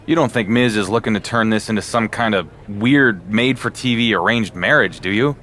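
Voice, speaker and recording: A man's voice: fairly low pitch, moderate pace, pushed and bright, a little hiss or hum. An actor voicing an earnest male wrestling champion in a video game cutscene, talking in a plain, wary way.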